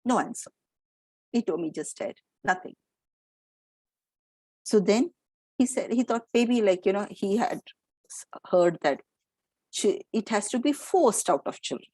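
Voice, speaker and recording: A woman's voice: medium pitch, lively intonation, a little slow, long pauses, darkish tone, husky, no room sound. A middle-aged Indian woman giving an explanatory lecture to teachers, with fillers and restarts. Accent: Indian English.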